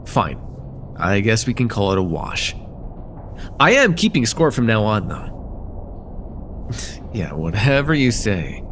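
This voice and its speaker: Man acting as a friendly college guy, lively pitch. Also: slow pace, long pauses, a little hiss or hum, exaggerated voice. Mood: playful bickering. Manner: casual, hesitant, conversational with soft teasing. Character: friendly, teasing college-age man chatting with close friend. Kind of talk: slice-of-life ASMR roleplay acting.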